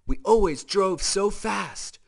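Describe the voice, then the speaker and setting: A man's voice: high-pitched, lively intonation, takes it slowly, creaky, pitched above the performer's own voice, full character voice. A man voicing a cocky young hot-rod race car from a children's storybook, brash and emphatic.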